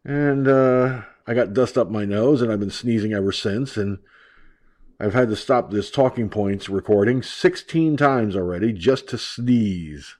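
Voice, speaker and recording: A man's voice: on the low side, unhurried, a little mellow. A man hosting an online talk radio show, ranting brashly and profanely about politics, his voice stuffed up and nasal from allergies.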